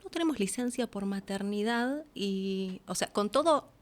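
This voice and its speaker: A woman's voice: mid-range voice, lively intonation, moderate pace. A young adult woman with an Argentine accent, speaking informally and reflectively as a guest in a casual interview. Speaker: interview guest, voice actress and announcer.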